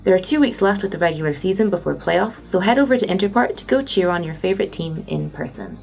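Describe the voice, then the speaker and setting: A woman's voice: on the low side, very animated, fast talker, creaky, a little mellow, faint music behind. A woman presenter reading scripted gaming and esports news.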